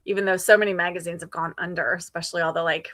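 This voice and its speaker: A woman's voice: fairly low pitch, fairly even intonation, very fast, fairly bright tone. A woman in her fifties hosting a casual, conversational livestream chat. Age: 50s. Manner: conversational, reads out and responds to viewer comments. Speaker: livestream host.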